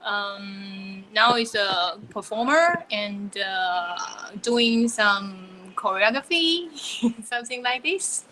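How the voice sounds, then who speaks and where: A woman from Taiwan, a performer and choreographer, introduces herself over a video call. Her voice is bright and clear, and she speaks slowly, pausing with fillers between phrases. Faint ambient noise sits behind her. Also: mid-range voice, animated, rough recording. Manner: slow, with fillers.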